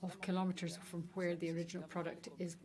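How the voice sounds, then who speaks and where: A woman interpreting formal meeting speeches live, in halting, phrase-by-phrase English delivery. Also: on the low side, completely flat delivery. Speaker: simultaneous interpreter. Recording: loud crowd murmur behind.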